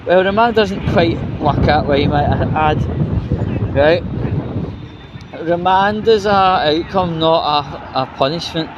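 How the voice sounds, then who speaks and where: A man's voice: on the high side, slow. A British man giving a casual, rambling, sweary vlog rant to viewers in an indignant, sarcastic manner. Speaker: vlogger. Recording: dull lo-fi recording with loud outdoor traffic noise.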